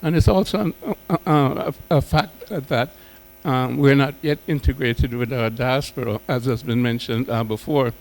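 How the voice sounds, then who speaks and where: Male panelist, mid-pitched with noticeable fry. Halting, emphatic, intellectual, improvised delivery. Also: faint music behind, rough recording. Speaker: panel speaker. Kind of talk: extemporaneous panel speech.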